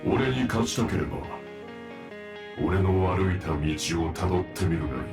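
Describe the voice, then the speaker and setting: Gravelly, imperious low male villain. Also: expressive, fast talker. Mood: challenging. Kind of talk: dramatic Japanese anime game trailer acting. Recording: echoing, distant, guitar music behind.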